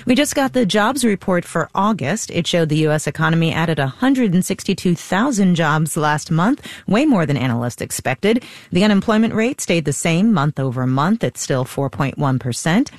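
A woman anchoring a radio business news show, reading the news and interviewing a guest live in a crisp newsreader manner. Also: fairly low pitch, normal speed, few pauses, dry recording, clean studio sound. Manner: crisp, lively newsreader delivery. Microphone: studio microphone.

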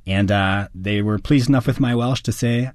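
A man's voice: lowish voice, moderate pace, no room sound, clean studio sound. A man, an actor from Wisconsin, answering interview questions with earnest enthusiasm. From Wisconsin, United States. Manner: earnest, enthusiastic storytelling with occasional laughs.

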